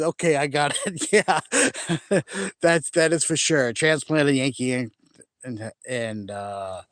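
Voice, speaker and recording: A man's voice: on the high side, no room sound, clean studio sound. Chatty man with faint Maine accent. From Maine, New England. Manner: chatty, rambling, laughs easily, frequent restarts. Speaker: vlogger. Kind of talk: casual live chat with viewers. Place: outdoors by a large lake at sunset. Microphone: phone camera held by hand.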